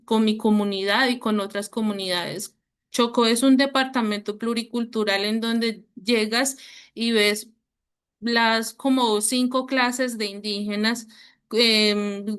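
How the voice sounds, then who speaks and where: A woman's voice: mid-range voice, a bit bright, clean tone. A woman sharing her experience in an online workshop, speaking hesitantly with many fillers and restarts over a video call. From Colombia. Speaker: workshop participant.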